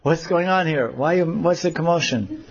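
A cool, calm young man asks innocent, teasing questions, as a man puts him on in a devotional story. The voice sits noticeably higher than the storyteller's own. The recording sounds a little dull and lo-fi. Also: measured pace.

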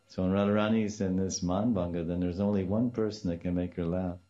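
Older man with a deep voice. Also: fairly even intonation, quick pace, mellow. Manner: storytelling with repeated phrases and Sanskrit terms. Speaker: devotional teacher. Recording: dull, slightly muffled lo-fi recording.

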